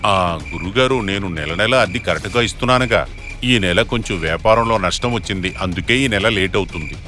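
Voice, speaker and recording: Deep-voiced, humble family man acted by a man. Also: fairly quick, pushed and bright, faint music behind. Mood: humble, pleading. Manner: earnest, humble, reassuring. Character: poor, gentle, pleading family man and father. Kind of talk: animated moral story character acting.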